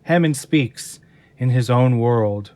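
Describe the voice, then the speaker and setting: A man narrating a scripted audio drama in a measured, descriptive manner. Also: medium pitch, darkish tone.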